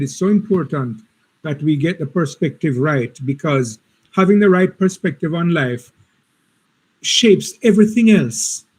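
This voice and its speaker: A man's voice: sits high, darkish tone, breathy edge. A man presenting a seminar, earnest, with frequent repeated words and restarts. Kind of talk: reflective seminar talk.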